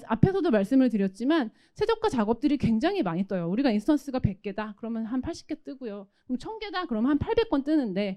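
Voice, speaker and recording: A woman's voice: fairly high pitch, dark tone. A woman giving a conversational, explanatory tech product presentation and demo. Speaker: tech product presenter from a company's automation division.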